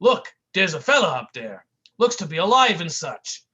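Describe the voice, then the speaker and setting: A man voicing a gruff, bullying male slapstick partner who talks in snappy tough-guy street slang. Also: sits high, big pitch swings, normal speed, bright, forceful tone, highs cut off, voice pushed up, full character voice.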